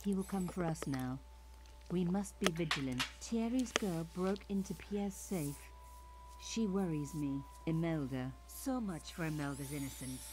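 A cool, wry journalist. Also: female voice, low voice, noisy background. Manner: calm, wry, measured. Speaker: game narrator and protagonist.

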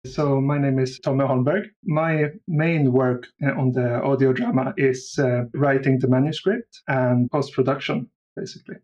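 A man's voice: medium pitch, moderate pace, hardly any pauses, a little mellow. A dull, lo-fi remote recording of a man with a mid-range voice. He talks casually and a bit hesitantly as a guest. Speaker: guest, audio drama writer. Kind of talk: casual interview chat.

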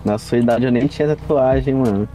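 A Brazilian man with a mellow, warm timbre talks casually in slang. He plays in an online roleplay session. Faint music runs behind him. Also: mid-range voice, brisk. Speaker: roleplay game player.

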